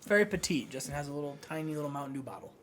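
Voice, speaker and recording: A man's voice: fairly high pitch, very fast, fairly bright tone, a little hiss or hum. A man co-hosting a game show, mostly giving short reactions and dry teasing quips. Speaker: co-host. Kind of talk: casual chat.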